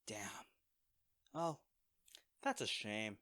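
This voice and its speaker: A man's voice: on the low side, big pitch swings, extremely slow, bright, forceful tone, clean tone, full character voice. A man playing a cocky, wisecracking treasure hunter in an audio roleplay, with a wry, flippant, conversational delivery. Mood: sardonic, wary. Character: cocky, wisecracking male treasure hunter trekking through jungle ruins. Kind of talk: dramatic audio roleplay addressed to a companion.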